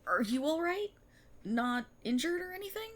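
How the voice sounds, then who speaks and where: A woman's voice: high voice, big pitch swings, normal speed. A woman playing an exasperated, self-aware policewoman in an audio roleplay, in a sarcastic, indignant and theatrical manner. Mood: relieved, concerned. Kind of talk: ASMR audio roleplay monologue, dramatic acting.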